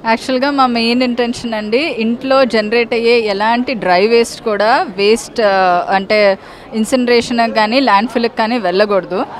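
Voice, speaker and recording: A woman's voice: fairly high pitch, fairly even intonation, moderate pace. A woman exhibitor explaining her products earnestly into a handheld mic at an expo.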